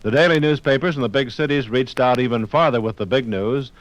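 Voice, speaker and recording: An American man reading scripted narration for a 1950s radio documentary in a measured, formal manner. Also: mid-range voice.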